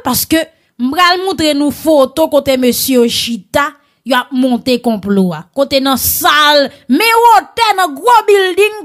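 High-pitched, animated Haitian woman commentator. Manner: animated, bantering, addresses listeners familiarly. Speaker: online news host. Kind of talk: conversational live news commentary.

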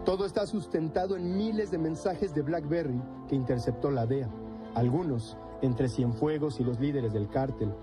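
A man reading a scripted news report as a voice-over in a steady, formal way. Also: on the high side, moderate pace. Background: music bed.

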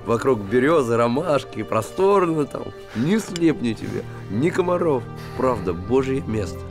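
An actor in his thirties playing a gruff, warm-hearted small-town handyman, lovesick and bitter, talking in blunt colloquial speech in a naturalistic TV drama. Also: medium pitch, very animated, measured pace, over music. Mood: fond, wistful. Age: 30s. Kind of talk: naturalistic television drama acting. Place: small wooden house room.

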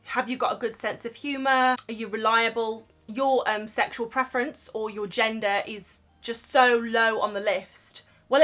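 A young woman speaks directly to the camera in a small, plain room, her voice sitting a little high. She is chatty and frank, offering reassuring advice in a relaxed vlog style. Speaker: vlogger giving crew advice.

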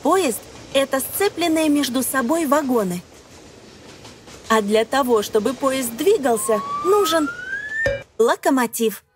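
A woman reading scripted educational narration for young children, phrasing deliberately and putting questions to the listener. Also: sits high, sing-song. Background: music.

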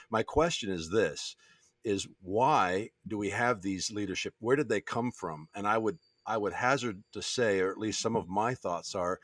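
A man's voice: low voice, no room sound. A man hosting a conversational interview, talking in an earnest, rambling way as he builds long, reflective questions and qualifies himself. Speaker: podcast host.

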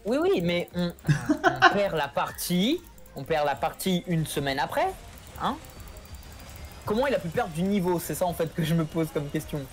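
A man's voice: sits high, big pitch swings, normal speed, over music. A young man, a guest player, teasing his friend in casual voice chat during a game. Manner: teasing, playful jokes. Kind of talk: casual banter while gaming.

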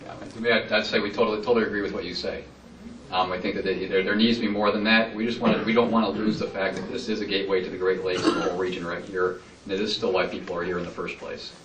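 A man's voice: sits low, level tone, quick pace, a bit bright, a little hiss or hum. A man answering at a public meeting, rambling and enthusiastic with many fillers. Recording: roomy hall, distant mic.

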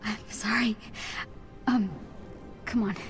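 An actress voicing an eager, awkward teenage girl superhero in a video game, excitable and informal. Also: mid-range voice, takes it slowly, over music, natural voice. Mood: relieved, sheepish. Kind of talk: video game cutscene acting.

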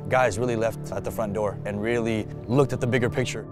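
Reflective man, low voice. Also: fairly even intonation, a little fast, music in the background. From United States. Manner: reflective, often repeats words when restarting. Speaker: national team player. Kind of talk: sit-down interview. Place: indoor arena seats.

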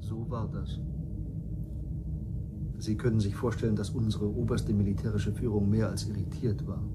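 An actor playing a courteous survivor of a destroyed world, narrating in a measured, formal way in a radio drama. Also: male voice, lowish voice, little pitch movement, heavy background noise, close to an everyday voice. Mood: measured, grave. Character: courteous male witness from a destroyed planet, explaining. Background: music.

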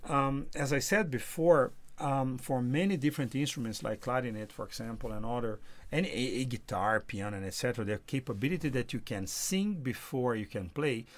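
A man whose first language is Portuguese, reviewing music software in a casual, halting way, often repeating words. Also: mid-range voice. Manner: halting, repeats words, sings test notes loudly.

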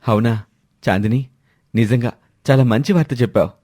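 A man voicing a dutiful, protective elder son who speaks respectfully and earnestly in an animated moral story. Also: medium pitch, a little fast, no echo, clean studio sound, close to an everyday voice. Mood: reassuring.

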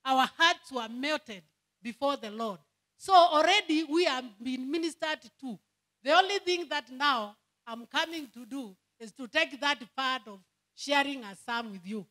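Kenyan woman preacher, high voice, fervent prayer rhythm, code-switching sermon in church. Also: expressive, measured pace, a bit bright. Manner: switches between Swahili and English, fervent prayer cadence.

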